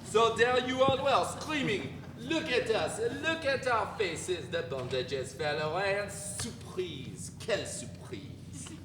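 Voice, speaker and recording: A man's voice: high voice, lively intonation, takes it slowly. A man in a live dramatic reading playing a pompous, sarcastic French intellectual with a mocking, declamatory manner. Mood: theatrical sarcasm. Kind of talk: dramatic reading of a novel before an audience. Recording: echoey hall, noisy live event recording.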